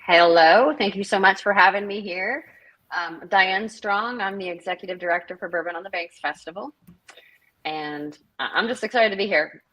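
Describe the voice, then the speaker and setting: A woman's voice: mid-range voice, normal speed, a bit bright, poor audio. A middle-aged woman from northern Minnesota, a festival director chatting as a guest over a video call, rambling with many fillers. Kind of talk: casual interview chat.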